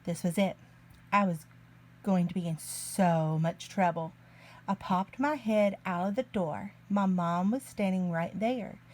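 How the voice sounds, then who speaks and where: A woman reading a picture book aloud to young children in an expressive, deliberate way. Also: fairly low pitch. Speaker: children's story reader, early learning teacher.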